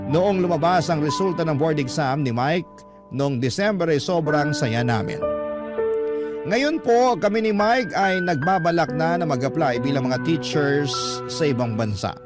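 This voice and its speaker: A man's voice: a little high, expressive, a little slow. Male storyteller over prominent music. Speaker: radio host reading listener letters. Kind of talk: radio-style scripted love-story narration.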